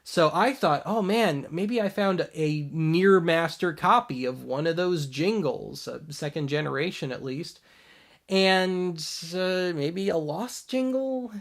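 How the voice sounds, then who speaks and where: A man's voice: a little high, expressive, takes it slowly, creaky. A man hosting a show in a casual, wry commentary style. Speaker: host of a show about old tapes.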